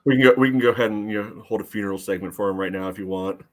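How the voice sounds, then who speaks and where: A man's voice: on the low side, very fast. A man co-hosting a casual soccer podcast with a dry, emphatic, sardonic way of talking. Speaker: sports podcast co-host.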